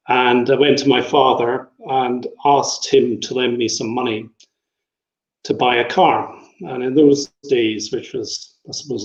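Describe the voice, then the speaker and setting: Scottish man in his fifties, interview guest with a wry, meandering delivery, echoey dull call audio. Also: medium pitch. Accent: Scottish, Edinburgh. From Scotland. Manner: rambling, self-deprecating, frequent ums. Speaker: interview guest, travel company director. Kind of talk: casual conversational interview. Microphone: video call.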